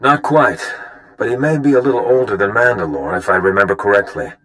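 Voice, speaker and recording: Seasoned male mercenary male actor, low register, dryly amused and crafty, for a video game. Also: brisk, a bit bright, lightly acted, low-quality recording. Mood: sly, businesslike. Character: seasoned, wry male bounty hunter, smooth and sly. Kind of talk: video game cutscene acting.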